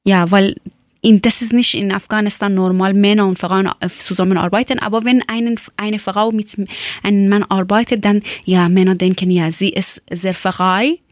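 A woman from Iran with a Persian accent, speaking German as a second language and telling a personal account haltingly, often searching for words. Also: medium pitch, fairly even intonation, no room sound, clean studio sound. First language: not German. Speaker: former teacher telling her life story.

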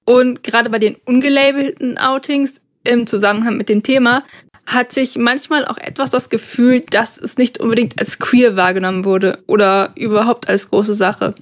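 A young woman co-hosts a podcast conversation. Her voice sits a little above average in pitch. She speaks earnestly and carefully. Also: steady tone, normal speed. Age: young adult. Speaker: podcast co-host.

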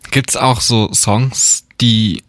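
Male interviewer, medium pitch, dry close sound, plain and attentive. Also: steady tone, drawn-out words, fairly bright tone, no room sound. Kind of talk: interview questions.

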